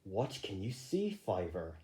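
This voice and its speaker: A man voicing a steady, protective male rabbit leader in an earnest storybook manner. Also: deep voice, a little slow, pitched below the performer's own voice.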